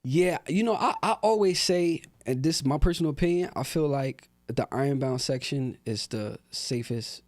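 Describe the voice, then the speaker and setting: A man's voice: fairly high pitch, moderate pace, few pauses, no echo, studio quality. A young man from Newark talking in a laid-back, casual way with lots of fillers, as a podcast guest. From Newark, New Jersey. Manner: laid-back, relaxed street talk with many fillers. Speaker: rapper and guest. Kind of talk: casual interview talk.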